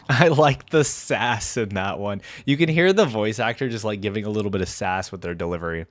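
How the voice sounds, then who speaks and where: A man hosting a reaction video, giving informal, off-the-cuff commentary in a halting, reflective way. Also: lowish voice.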